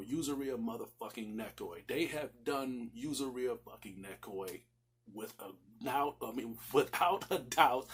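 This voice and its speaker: A man's voice: mid-range voice, husky. A man reviewing a series in a casual, sweary, emphatic way, rambling and repeating himself for stress. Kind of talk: casual, profanity-laced review commentary.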